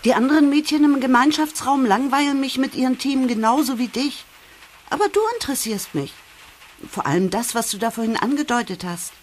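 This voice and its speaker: A woman's voice: sits high, brisk, a little hiss or hum, natural voice. An actress playing a pushy, gushing, fashion-mad schoolgirl in a children's audio drama, insistent and dramatic.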